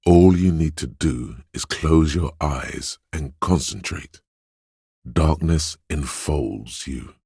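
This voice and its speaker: An actor voicing a suave, theatrical inner voice in a video game, narrating in a measured, wry way with a gravelly, husky tone. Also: male voice, a bass voice, no room sound, clean studio sound, exaggerated voice. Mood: dry, advising, then dramatic. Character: suave, theatrical inner voice of a detective's flair and agility. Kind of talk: scripted second-person game narration.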